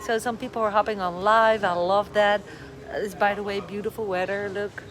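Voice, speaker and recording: A woman's voice: medium pitch, level tone, moderate pace. A middle-aged woman from the Netherlands with a Dutch accent, chatting on a casual live stream, a little short on sleep and a bit scattered. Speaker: vlogger giving advice. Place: airport departure area. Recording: noisy airport live stream. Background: airport ambience.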